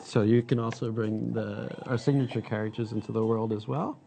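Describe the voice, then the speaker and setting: A man's voice: on the low side, fairly even intonation, a little fast, gravelly, dark tone, slight background noise. A man giving an upbeat, step-by-step live product demo. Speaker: product demonstrator.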